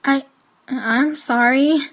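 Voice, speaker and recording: A woman playing a reserved, brooding teenage girl in an amateur audio drama, measured and guarded in manner. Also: high voice, fairly even intonation, fairly bright tone, heavily acted. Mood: confused.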